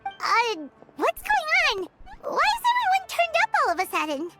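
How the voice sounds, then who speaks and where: A woman's voice: huge pitch swings, moderate pace, pushed and bright, exaggerated voice. A woman voicing a tiny, eager female fairy companion in a video game, who talks about herself in the third person. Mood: chirpy. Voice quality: high squeaky childlike voice. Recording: game audio with music behind.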